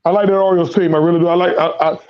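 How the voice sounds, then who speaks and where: Hoarse guy talking baseball. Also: sits high, level tone, very fast, dull audio. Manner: rambling, repeats words, Southern American slang. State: losing his voice. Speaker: sports podcast host. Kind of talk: casual sports talk.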